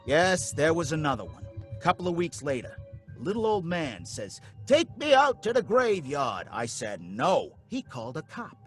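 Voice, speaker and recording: A rattled cab driver in an old-time radio play. He talks in folksy slang and pleads excitably. His voice is fairly high and bright, and it swings widely. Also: moderate pace, soft background music. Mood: rattled recounting. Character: jittery working-class male cab driver.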